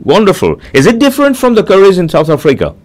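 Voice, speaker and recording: A man's voice: high-pitched, huge pitch swings, fast talker, darkish tone. A man hosting a conversational broadcast interview, repeating answers back and addressing viewers directly.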